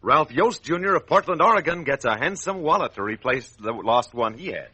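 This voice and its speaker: A man's voice: fairly high pitch, very animated, a little fast, bright, forceful tone, slightly rough, dry recording. An American man announcing a 1940s children's radio show in a hearty, salesmanlike manner, on an old radio recording.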